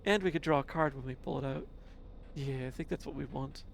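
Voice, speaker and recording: A man with a mixed accent casually commentating his card game as a streamer, musing slowly and chuckling to himself. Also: medium pitch, creaky, slight background noise.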